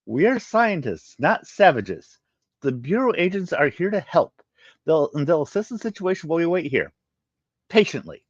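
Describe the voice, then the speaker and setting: Man voicing a firm alien woman scientist, raised pitch. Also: big pitch swings, moderate pace. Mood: stern, composed. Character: female alien scientist, composed, firm, scolding authority. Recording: muffled, roomy home recording.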